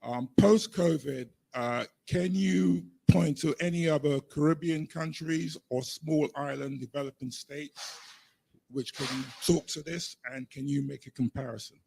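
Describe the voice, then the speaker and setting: A man, a journalist hoarse from the flu, asking a press conference question with many hesitant fillers. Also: fairly high pitch, measured pace, a little mellow.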